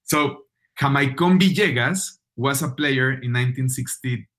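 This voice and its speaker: A man from Mexico with a Mexican accent, a guest telling animated anecdotes in a casual chat and laughing easily. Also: mid-range voice, unhurried. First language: Spanish. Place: calling in from Mexico City.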